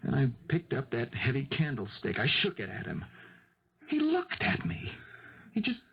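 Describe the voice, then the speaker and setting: Give me a defensive young man in his twenties. His husky voice moves animatedly as he stammers and flares up. It has the slightly muffled sound of seventies radio drama. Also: mid-range voice, normal speed, exaggerated voice. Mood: agitated. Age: 20s. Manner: hesitant, stammering, excitable. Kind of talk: 1970s radio drama acting. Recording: muffled 1970s radio broadcast.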